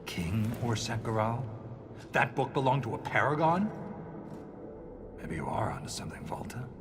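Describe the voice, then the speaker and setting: A man playing a pragmatic, skeptical dwarf soldier in a video game, talking in a blunt, wry, gruff way. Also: medium pitch, very animated, normal speed, background noise, close to an everyday voice. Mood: grudging, earnest. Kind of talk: video game cutscene dialogue. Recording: game music behind the voice. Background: music.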